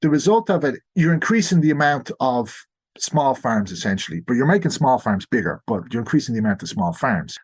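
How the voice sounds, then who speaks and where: Male, Irish accent, mid-pitched voice. Guest historian giving explanatory interview answers, sometimes framed as his own questions. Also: breathy edge. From Ireland.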